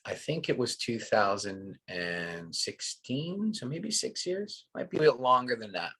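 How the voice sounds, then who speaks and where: A man's voice: medium pitch, lively intonation, measured pace, pushed and bright, slightly rough. A man in his forties, a coach talking as a guest on a podcast, reflective and rambling, with many restarts. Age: 40s. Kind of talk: casual podcast conversation.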